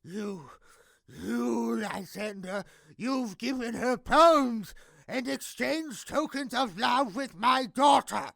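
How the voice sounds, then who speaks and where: A man's voice: very high-pitched, slow, vocal fry, a bit bright, no echo, studio quality, exaggerated voice. A man playing an outraged, controlling noble father in an audio drama, declaiming his complaints indignantly. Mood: furious, accusing. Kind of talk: modernized Shakespeare audio drama acting.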